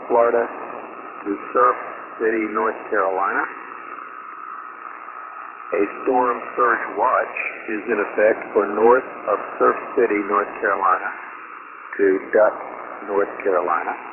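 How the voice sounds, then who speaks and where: A man's voice: fairly low pitch, steady tone. Crackly thin ham radio audio w lots of static, guy reading a storm bulletin, stumbles a bit and corrects himself. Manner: halting, stumbles and self-corrects on place names. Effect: shortwave ham radio transmission. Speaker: amateur radio operator relaying storm advisories. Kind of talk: reading an official weather bulletin. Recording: tinny, very noisy shortwave radio signal. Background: loud radio static and noise.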